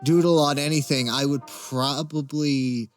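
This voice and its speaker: A man with a slightly high voice talks casually as an interview guest. He is hesitant and self-deprecating, with a wry turn to his phrasing. Faint music plays behind him. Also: fairly even intonation, a little slow. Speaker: interview guest, amateur voice actor. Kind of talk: casual Q&A chat.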